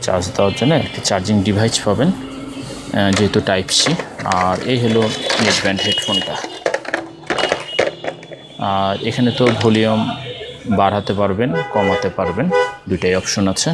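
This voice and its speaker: A man with a Bangladeshi accent speaks in a fairly low voice at a quick clip. He presents products like a shop seller walking customers through features off the cuff, circling back over his points and dropping in small verbal check-ins. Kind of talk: unscripted product demonstration.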